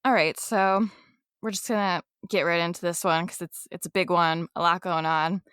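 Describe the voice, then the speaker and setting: A woman's voice: fairly low pitch, steady tone, moderate pace, fairly bright tone, clean tone, no echo. A woman co-hosting a true crime podcast in a loose, chatty way, full of fillers and laughs. She sounds a little congested. Her voice sits close and dry on the microphone with no room around it. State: congested from allergies. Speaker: podcast co-host.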